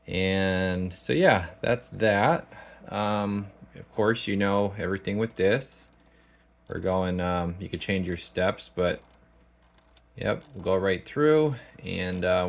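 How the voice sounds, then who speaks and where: Low male voice, gravelly fry, informal, halting, thinking aloud, workshop demo style. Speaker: radio technician. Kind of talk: casual product demonstration. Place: small indoor electronics workshop.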